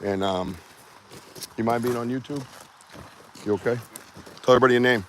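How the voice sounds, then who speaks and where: A man's voice: mid-range voice, fairly quick, a bit bright, rough recording. A man with a Boston accent from Massachusetts's South Shore, hosting a live Bigfoot research stream outdoors on his phone, chatty and joking with viewers. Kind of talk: casual live-stream chat. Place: outdoors in rural woods. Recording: outdoor ambient noise.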